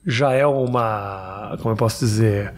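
A man's voice: fairly low pitch, a little slow, a little hiss or hum. A man hosting an interview, chatty and playful, asking questions and telling anecdotes. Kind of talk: casual interview chat.

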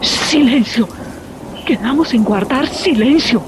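A high-voiced Colombian mother, frightened and pleading in a radio drama. She speaks slowly, with hushed urgency, while rain sounds around her. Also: lively intonation. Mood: stern. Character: frightened, pleading mother guarding a traumatic secret. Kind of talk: audio drama acting. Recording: rain noise over the voice.

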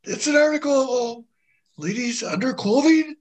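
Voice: high-pitched, lively intonation, normal speed. An actor playing a bumbling, deferential sidekick in a comic radio murder mystery, earnest, puzzled and easily flustered.

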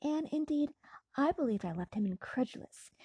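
A woman voicing an eager, cheerful young male friend in an audiobook, with a husky voice and an effusive, exclamatory manner. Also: high-pitched, lively intonation, moderate pace, dry recording, highs cut off, pitched above the performer's own voice. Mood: amused exasperation. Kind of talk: dramatised audiobook reading.

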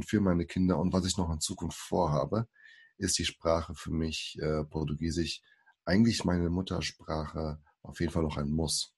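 A man's voice: deep voice, vocal fry, a little mellow, husky. A man in his forties, born in Brazil, talking as a podcast guest in a hesitant way with many fillers and restarts. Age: 40s. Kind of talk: casual interview talk.

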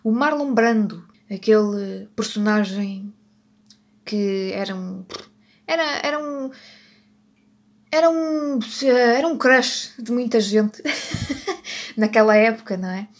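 A woman's voice: medium pitch, slow, pauses often. A Portuguese woman hosting a podcast, chatting without a script, often correcting herself and laughing at her own slips. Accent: European Portuguese. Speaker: podcast host. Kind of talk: unscripted solo podcast chat.

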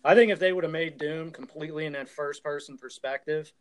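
Casual man with a higher voice. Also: brisk. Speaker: podcast co-host. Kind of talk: casual podcast discussion.

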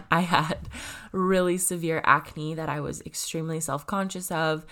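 A woman's voice: low voice, a bit bright. A woman in her twenties with a stuffy, congested nose, talking casually and rambling with lots of fillers and self-corrections. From Canada. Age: 20s. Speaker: vlogger. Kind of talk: casual personal talk to camera.

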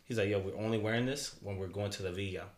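A man's voice: lowish voice, fast talker, roomy sound. A young man of Dominican family raised in New York, co-hosting a casual chat, joking and laughing often in slangy talk. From Dominican Republic family, raised in New York. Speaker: podcast co-host.

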